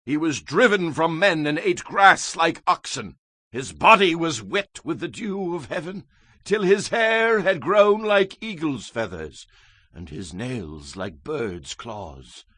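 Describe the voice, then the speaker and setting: Male scripture narrator, solemn and theatrical, highly varied pitch, very dry recording. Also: very animated, slow, bright, forceful tone, dry recording. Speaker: Bible narrator.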